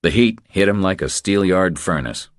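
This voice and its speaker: A man reading scripted audiobook narration in a measured storytelling manner. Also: low-pitched, lively intonation, dry recording, clean studio sound.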